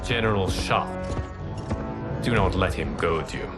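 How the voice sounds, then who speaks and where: An actor playing a calm, wise fire god mentor in a video game cutscene, speaking in a formal, measured and solemn way. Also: male voice, low voice. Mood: calm, grave. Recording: loud music behind the voice.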